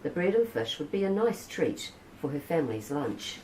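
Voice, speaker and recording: A woman reading a picture book aloud in a gentle storytelling manner, saying Maori words naturally. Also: sits low, very animated, normal speed. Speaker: children's book reader. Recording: roomy with faint background hiss.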